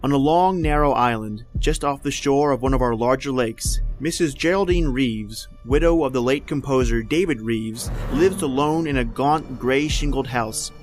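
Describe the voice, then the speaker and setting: A man's voice: medium pitch, normal speed, hardly any pauses, faint music behind. A man hosting and narrating an old-time radio horror show in a scripted storytelling style. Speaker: podcast host and narrator.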